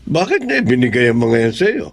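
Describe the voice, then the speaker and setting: A man playing a wary middle-aged father who gently questions his child in a Filipino radio drama. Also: medium pitch, huge pitch swings, fairly quick. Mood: wary, curious.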